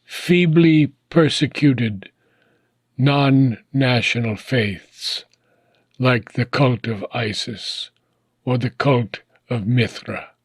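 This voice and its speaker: A man reading a philosophical book aloud in a measured, solemn, deliberate manner, pausing often between phrases. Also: medium pitch, breathy edge, no echo. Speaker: audiobook reader.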